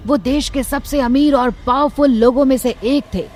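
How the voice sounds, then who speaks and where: High-pitched woman narrating a drama. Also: steady tone, moderate pace, a little mellow. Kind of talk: scripted dramatic story narration. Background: background music.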